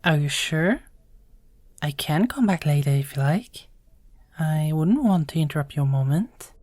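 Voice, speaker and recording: Kind bedside nurse for audio drama, female actor: lowish pitch, soothing, courteous, dry close sound. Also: lively intonation, unhurried, no room sound. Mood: gentle, polite. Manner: gentle, polite, soothing. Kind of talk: audio roleplay acting.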